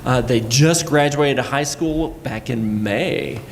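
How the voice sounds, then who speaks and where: A man's voice: medium pitch, slow pace. A man from Puerto Rico speaking on a live panel, conversational and full of fillers. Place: college event room. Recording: echoey room, mic at a distance, background noise.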